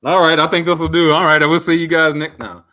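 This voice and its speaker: A man's voice: a little high, little pitch movement, fast, sharp tone. A man around thirty chatting casually with viewers as a streamer, candid and reflective, full of slang and fillers. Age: about 30. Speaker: streamer answering viewer questions. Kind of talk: casual chat.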